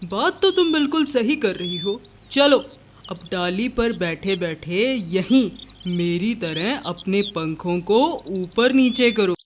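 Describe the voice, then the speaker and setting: A man plays a father bird in a moral-story cartoon. The voice is high and unhurried, firm yet caring. He speaks like a parent teaching a lesson. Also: animated, background noise. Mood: instructive. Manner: slow, instructive, deliberate.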